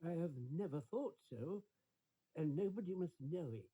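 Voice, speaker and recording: Man doing a flustered old minister, high and hesitant. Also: lively intonation, unhurried, dark tone, husky, low-quality recording. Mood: worried, bewildered. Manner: hesitant, faltering. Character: faithful old male minister, flustered and anxious to please.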